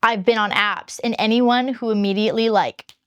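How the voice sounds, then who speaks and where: A woman YouTuber with a high-pitched voice, chatting candidly to her viewers and rambling through long explanations. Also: steady tone, moderate pace, fairly bright tone, clear, resonant tone, dry recording. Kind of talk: casual chat to camera.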